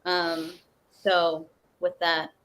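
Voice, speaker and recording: A woman's voice: on the low side, monotone, drawn-out words, a bit bright, a little hiss or hum, rough recording. A woman talking casually on a livestream video call, with loose, slangy, informal phrasing. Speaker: actor, interview guest.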